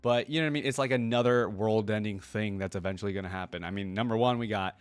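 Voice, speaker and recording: Low-voiced man giving casual reaction commentary. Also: fast talker, clear, resonant tone, no room sound. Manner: informal, thinking aloud, questioning. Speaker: reaction video host. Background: music from the watched video.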